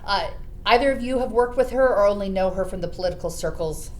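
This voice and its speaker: An American woman hosting a casual political chat, talking in a chatty way and telling anecdotes. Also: quick pace. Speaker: host of a news podcast. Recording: clear background noise with low rumble.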